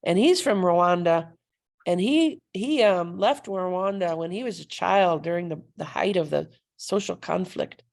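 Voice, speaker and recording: A woman's voice: lowish voice, a little slow, gravelly, a little mellow. A woman chatting enthusiastically on a video call, effusive and welcoming. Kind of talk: casual video call chat.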